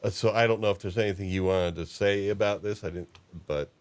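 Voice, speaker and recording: A man hosting a casual chat, talking haltingly and circling back mid-thought. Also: on the low side, steady tone, clean tone. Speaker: podcast host. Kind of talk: casual chat about fan fiction and films.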